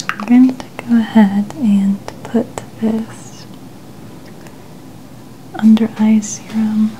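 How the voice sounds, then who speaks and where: Woman, breathy whisper, very mellow and soft, gentle ASMR role-play, faint ambient noise. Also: medium pitch, low-quality recording. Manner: gentle, unhurried reassurance. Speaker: ASMR makeup artist.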